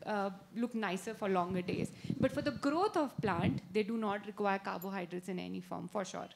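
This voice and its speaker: A woman's voice: medium pitch, moderate pace, gravelly, slight background noise. A woman in her thirties with an Indian accent, answering questions in an instructive way as a gardening expert at a live talk. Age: 30s. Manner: explanatory, instructive. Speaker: gardening expert and guest speaker.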